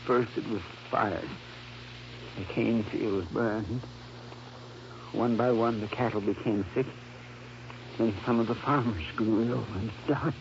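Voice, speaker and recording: Ailing farmer, weak labored speech, earnest, fearful, vintage radio drama, muffled recording with faint hum. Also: male voice, fairly low pitch, steady tone, takes it slowly, vocal fry, long pauses. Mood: weary, troubled. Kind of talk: old-time radio drama acting.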